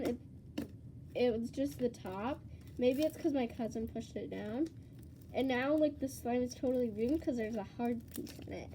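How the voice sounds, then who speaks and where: A teenage girl vlogging casually with a stuffy, congested voice from a cold, chatty and excitable. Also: high voice, noisy background. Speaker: slime vlogger. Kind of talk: casual vlog chat.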